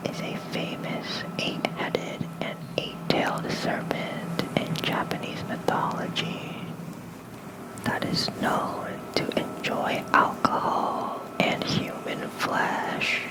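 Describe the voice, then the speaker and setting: A person reading bedtime lore as ASMR in a soft, breathy whisper, gentle and unhurried, with small murmured asides, close to the mic. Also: rough recording. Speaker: host of an ASMR channel. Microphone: close mic with fluffy cover.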